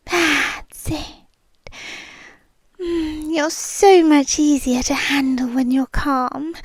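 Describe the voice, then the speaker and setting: A woman's voice: high voice, no room sound, heavily acted. A woman with a British accent voicing an obsessive, possessive rich young woman in a whispered ASMR roleplay. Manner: soft whispered, slow, purring menace.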